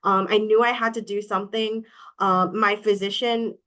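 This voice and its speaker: A woman's voice: mid-range voice, steady tone, normal speed, a bit bright. A woman from Hawaii talking as a guest in a casual interview, speaking openly and candidly with many "um"s. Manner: open, candid, with many fillers. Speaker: guest, coaching client.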